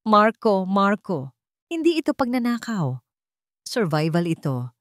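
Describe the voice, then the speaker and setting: A woman's voice: medium pitch, very animated, unhurried, no room sound, clean studio sound. A woman voicing a scheming, domineering older mother in an audio story, commanding and cutting. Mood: forceful, insistent. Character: scheming, domineering older matriarch, sharp and contemptuous.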